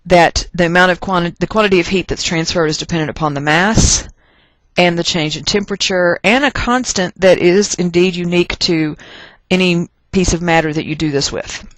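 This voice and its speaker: A teacher from Texas with an American accent, lecturing through notes in an emphatic, folksy way with joking asides and deliberate stress on key points. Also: mid-range voice, fairly bright tone, no room sound, low-quality recording. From Texas, United States. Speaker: chemistry teacher. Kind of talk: lecture narrated over class notes.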